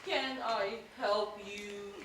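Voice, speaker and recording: A woman playing the brisk, shrewd sister of a sick man, mocking and plotting in a live stage play. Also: mid-range voice, fairly even intonation, crowd noise. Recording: echoey theater from far off, noisy.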